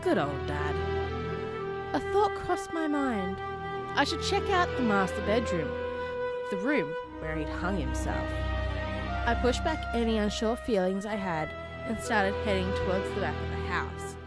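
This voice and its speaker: A woman narrating a horror story in a dramatic, suspenseful manner, with deliberate pauses. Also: mid-range voice, very animated. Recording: loud music under the voice. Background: prominent background music.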